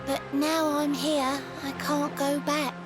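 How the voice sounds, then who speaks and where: A lovelorn game mermaid, with an extremely high, girlish pitch and an unhurried, lilting delivery in rhyme. She is sweet, wistful and devoted, and loud music plays behind her. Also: level tone. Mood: resigned, wistful. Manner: speaks theatrically in rhyming verse. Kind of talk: video game voice acting.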